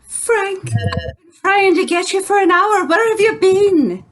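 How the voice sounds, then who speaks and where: A woman's voice: very high voice, animated, measured pace, a bit bright, exaggerated voice. A woman playing an upset, indignant wife, scolding and tearful, in an old-time radio comedy.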